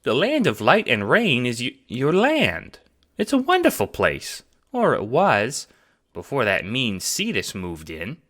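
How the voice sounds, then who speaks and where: A man voicing a sweet, eager, childlike ghost cat sprite in an audio drama, with a creaky voice and an earnest, chirpy, polite manner. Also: mid-range voice, huge pitch swings, measured pace, fairly bright tone, full character voice.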